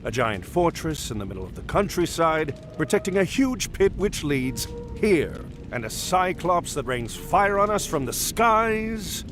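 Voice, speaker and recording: A heroic, commanding admiral from a fantasy game cutscene. The voice sits a little high for a man and moves with a lively, declamatory swing, with music clearly underneath. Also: measured pace, hardly any pauses. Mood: resolute, wary. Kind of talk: video game cutscene acting.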